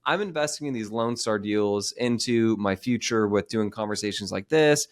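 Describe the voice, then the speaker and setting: A man in his thirties hosting a podcast, chatting casually in a quick, rambling way with many restarts. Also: on the low side. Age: 30s. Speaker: podcast host. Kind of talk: casual interview chat.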